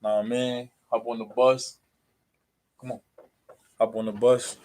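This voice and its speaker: A man with an American accent chatting casually as a livestream host, in a halting, repetitive, rambling way full of fillers. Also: mid-range voice, level tone, a little mellow. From New Jersey, USA.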